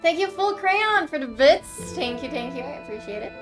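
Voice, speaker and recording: Chatty high-voiced Quebec French woman streamer. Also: expressive, normal speed. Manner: chatty, rambling, laughs often, thanks viewers. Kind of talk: casual chat with viewers. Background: game music.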